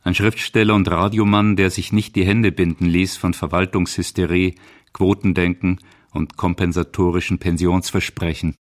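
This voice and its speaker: Low-voiced man narrating a radio documentary. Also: level tone, moderate pace. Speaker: feature author and narrator.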